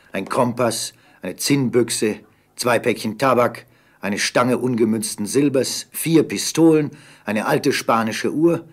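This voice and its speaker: A man with a husky voice giving scripted narration for an audio drama. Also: fairly low pitch.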